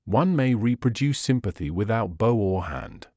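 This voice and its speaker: A coolly analytical gentleman detective of Victorian times, read by a male narrator. He is precise, erudite and dry, in a low voice with lively movement, as heard in an audiobook. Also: normal speed, no echo. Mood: analytical, assured. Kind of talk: audiobook character voice.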